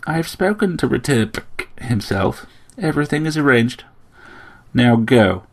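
A man's voice: very animated, unhurried. A man voicing a stern, imperious older aunt who speaks with menacing restraint in a story narration. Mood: restrained, wounded. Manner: restrained menace, booming when angered. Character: formidable stern aunt, older woman, imperious and guilt-laying.

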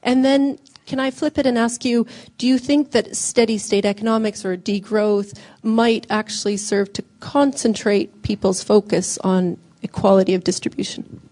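A woman in the audience asking an articulate, measured question at a lecture. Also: mid-range voice, steady tone, warm tone.

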